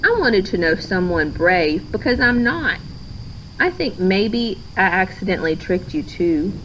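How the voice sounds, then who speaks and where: A woman's voice: medium pitch, animated, a little slow, a bit bright, slight background noise, low-quality recording. A woman voicing a hurt, indignant, lonely young girl in a storybook, speaking earnestly and emphatically. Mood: earnest, tentative.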